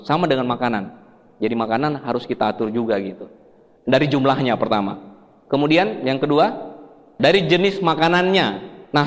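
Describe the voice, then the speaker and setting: A man from Sulawesi, Indonesia, giving a health seminar in an explanatory, conversational way, putting questions to his listeners. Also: fairly high pitch. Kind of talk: presentation to an audience. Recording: echoey hall with long reverb.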